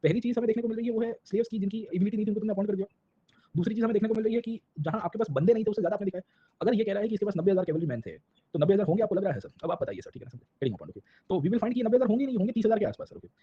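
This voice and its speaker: Indian male lecturer, rapid-fire and raspy, conversational classroom delivery full of check-in questions, on dull lo-fi audio. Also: sits high, expressive. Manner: conversational, peppered with rhetorical check-in questions and tag words; mixes Hindi and English. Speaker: history teacher.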